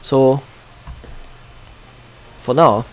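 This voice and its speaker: A man's voice: medium pitch, very slow. Young Singaporean male game streamer, Singaporean accent; casual, chatty commentary with muttered asides. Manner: chatty, muttering asides, reading chat aloud.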